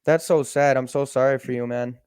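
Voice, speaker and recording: A man's voice: medium pitch, steady tone, brisk, darkish tone, clean tone, no room sound, clean studio sound. A man in his early twenties co-hosting a podcast, rambling casually with lots of "bro" and "like". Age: 20s. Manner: rambling, says "bro" and "like" a lot, laughs. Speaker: podcast co-host. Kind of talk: casual chat.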